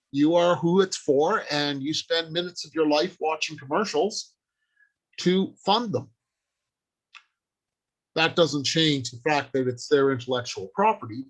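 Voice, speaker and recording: A man giving an informal instructional talk as a writer, explaining things plainly and stressing key points, partly improvising from notes. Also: fairly high pitch. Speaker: writer and convention panel speaker.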